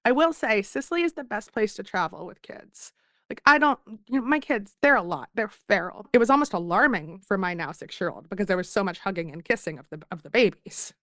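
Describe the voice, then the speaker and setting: A woman's voice: mid-range voice, lively intonation, fairly quick, fairly bright tone, no room sound. A woman in her thirties from Philadelphia, a guest author talking in a casual podcast interview, chatty and self-deprecating as she tells stories.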